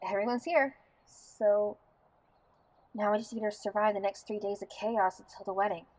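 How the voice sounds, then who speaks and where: A woman's voice: mid-range voice, steady tone, moderate pace, a little mellow, natural voice, poor audio. A woman playing a feisty, blunt young farm woman and bride-to-be in an audio drama, forthright and sardonic. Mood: exasperated.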